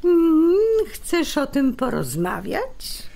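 A woman's voice: sits high, big pitch swings, extremely slow, creaky, mellow, heavily acted. A woman playing a grumpy convalescing wife with dry wit in a naturalistic radio drama, sarcastic and bickering. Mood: dryly teasing.

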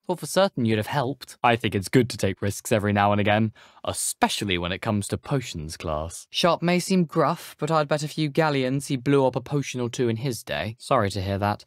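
A man's voice: medium pitch, expressive, fairly quick, no room sound, studio quality, natural voice. A young man with a British accent playing an eager, cheeky teenage wizard schoolboy in a video game, chatty, persuasive and self-assured. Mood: chatty, breezy. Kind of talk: video game character dialogue.

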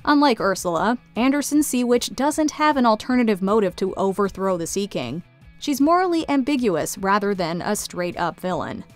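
A woman's voice: medium pitch, very animated, normal speed, soft background music. A woman reading scripted video essay narration into a close studio microphone. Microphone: close studio voice-over mic.

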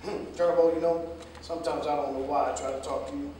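A man's voice: fairly high pitch, fairly even intonation, fast talker, warm tone, close to an everyday voice. A man playing a frank, opinionated American cab driver with a firm manner in a staged play reading. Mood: firm, reproving. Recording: echoey stage, distant mic, faint noise.

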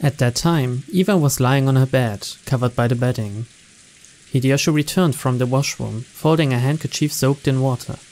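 A man's voice: mid-range voice, normal speed, a little mellow. A man reading visual novel narration aloud as a let's-play narrator. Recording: noticeable rain noise behind. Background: rain and game ambience.

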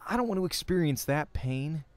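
A man's voice: fairly high pitch, animated, brisk, no echo. Man reading a visual novel aloud. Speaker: let's play streamer. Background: faint music.

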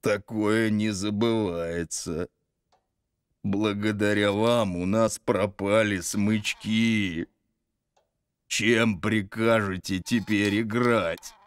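A cartoon double bass, a fussy and aggrieved husband with theatrical airs. His voice sits fairly low and he draws his words out very slowly, grumbling grandly. The sound is dry and close. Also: fairly even intonation, clear, resonant tone, no echo. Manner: theatrical, grumbling, drawn-out delivery. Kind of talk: animated cartoon voice acting.

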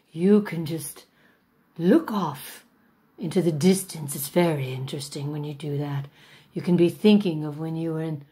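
A woman's voice: low-pitched, darkish tone. A woman playing a pompous, vain older actress who teaches a masterclass in a grand, drawling, self-important manner. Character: pompous aging female stage diva, vain. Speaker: acting teacher in a mock masterclass. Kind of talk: comic character monologue.

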